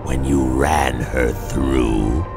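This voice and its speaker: Slow, deep, sinister necromancer. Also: male voice, fairly even intonation, vocal fry, exaggerated voice. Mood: mocking. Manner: sly, mocking, savoring words. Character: sinister undead necromancer, male, gleeful about death. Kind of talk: video game cutscene acting. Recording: music behind the voice.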